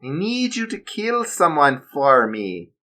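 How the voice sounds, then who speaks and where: A man voicing a menacing villain boss with a put-on German accent, slow and mock-polite. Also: a little high, sing-song, bright, forceful tone, voice pushed up, full character voice. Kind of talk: amateur storytelling.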